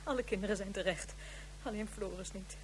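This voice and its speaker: A woman's voice: mid-range voice, fairly quick, slight background noise, lightly acted. An actress playing a frantic, very fat mother seeking her missing baby in a Dutch radio drama.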